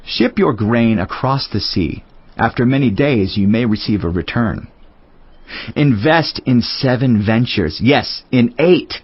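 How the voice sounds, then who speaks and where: An actor voicing a solemn, wise teacher in a dramatized scripture reading, speaking in a measured, declamatory way. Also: male voice, mid-range voice, lively intonation, slightly rough, no echo. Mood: solemn, reflective. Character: solemn male Teacher, wise biblical sage reflecting on life. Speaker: scripture reader. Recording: dull lo-fi audio over music.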